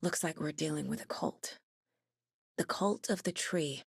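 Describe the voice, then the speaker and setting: A very dry, close recording of a sharp federal investigator in a video game. She is measured and thoughtful, working through things in short, clipped phrases with steady determination. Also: sits low, breathy edge, no room sound, heavily acted. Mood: analytical. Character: determined female FBI agent and mother, sharp, inquisitive investigator.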